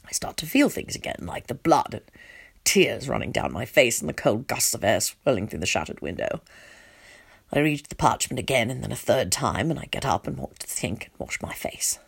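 A woman voicing a shaken, distraught father, narrating in a hushed, halting and tense way. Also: extremely low pitch, very animated, gravelly, husky, whispered, pitched below the performer's own voice, full character voice. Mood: stunned relief.

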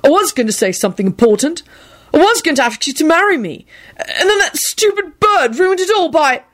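An excitable young man in love, acted out by a woman reading a story. He is insecure yet stubborn and quick-tempered, blurting his words with emphasis and very lively swings of pitch. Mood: vehement.

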